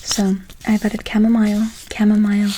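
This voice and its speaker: A woman's voice: medium pitch, completely flat delivery, normal speed, background noise. A woman whispering an ASMR roleplay as a soothing witch, lingering on repeated words and endearments. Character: soothing witch casting a good luck spell. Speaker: ASMR performer.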